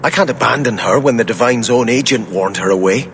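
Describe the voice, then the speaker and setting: A man's voice: mid-range voice, fairly quick, fairly bright tone, a little hiss or hum, natural voice. An actor playing an earnest, devout and protective nobleman in a video game, speaking with conviction. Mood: pleading, resolute. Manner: earnest, impassioned.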